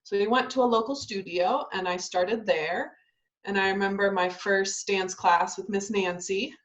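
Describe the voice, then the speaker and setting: A woman's voice: mid-range voice, steady tone, normal speed, muffled recording. A young adult woman, a ballet dancer born in Italy and raised in Southern California, chatting casually in an interview with lots of ums and restarts. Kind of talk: casual interview over video call.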